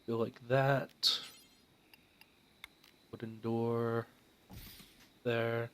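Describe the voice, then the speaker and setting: A man's voice: mid-range voice, little pitch movement, very slow, fairly bright tone, slight background noise. A young man with a stuffed-up, nasal voice from a cold, casually commenting on a game while thinking aloud with many fillers. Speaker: let's play host.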